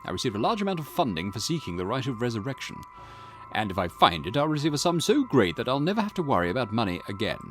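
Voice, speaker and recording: A man's voice: mid-range voice, very animated, fast talker, faint music behind, lightly acted. A man voicing a conceited, irritable historian and occult researcher in a visual novel, pompous, snappish and condescending. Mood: lecturing, self-important. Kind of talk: acted visual novel dialogue.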